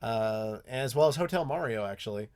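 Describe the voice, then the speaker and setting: A man's voice: mid-range voice, animated, moderate pace, darkish tone, smooth. An American man hosting a live Q&A stream, chatting casually with lots of ums and restarts. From United States. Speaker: YouTube host answering live chat. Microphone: desk mic with clacking mechanical keyboard.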